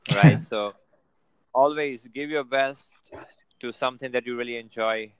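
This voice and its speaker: A man's voice: medium pitch, fairly even intonation, measured pace, clear, resonant tone, no echo, highs cut off. A man giving casual motivational advice on a video call, filling his talk with "you know" and "right?". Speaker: guest.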